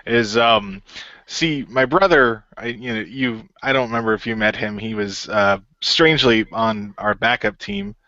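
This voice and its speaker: A man's voice: lowish voice, unhurried, pushed and bright, no echo, highs cut off. A man playing an easygoing, hesitant and rambling young hero in an audio role-play. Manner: rambling, hesitant, circling back.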